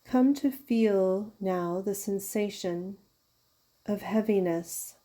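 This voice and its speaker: A woman leading a guided yoga nidra meditation as a teacher, giving soothing, unhurried instructions with pauses. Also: medium pitch, warm tone. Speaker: yoga therapist guiding a meditation.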